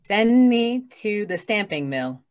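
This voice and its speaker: A woman playing a cold, defiant robot resentful of humans, in blunt flat declarations, old-time radio drama style. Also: medium pitch, slow pace. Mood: cold defiance.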